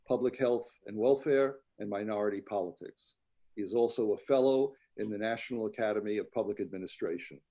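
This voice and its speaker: A man's voice: fairly low pitch, warm tone. A man moderating a conference, plainly reading formal speaker introductions.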